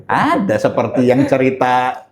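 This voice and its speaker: A man's voice: medium pitch, big pitch swings, unhurried. A man with a Jakarta Indonesian accent in a casual chat, telling things in slangy everyday language and acting out bits of dialogue. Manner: slangy, acts out dialogue with rhetorical questions. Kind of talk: casual chat, colloquial storytelling.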